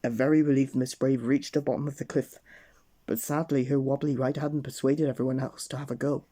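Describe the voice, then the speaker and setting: A man reading a children's storybook aloud at bedtime, gently addressing the young listener. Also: mid-range voice, steady tone, a little fast.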